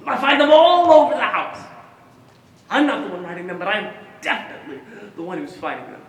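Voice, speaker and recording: A woman's voice: medium pitch, big pitch swings, fairly quick, lightly acted. A woman on stage playing an obsessive, troubled, protective inner voice, fixated and repetitive in her intensity. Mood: obsessive, anxious. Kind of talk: theatrical stage monologue. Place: stage in a hall, one-act play performance. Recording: echoey hall from far off, faint noise.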